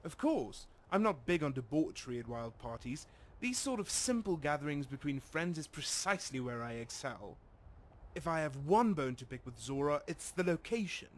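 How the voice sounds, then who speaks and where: A smug, fussy male guest voiced by an actor in a fantasy game. He talks pompously in a fairly high, very lively voice over faint noise. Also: moderate pace. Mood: smug, chatty. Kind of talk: video game character dialogue.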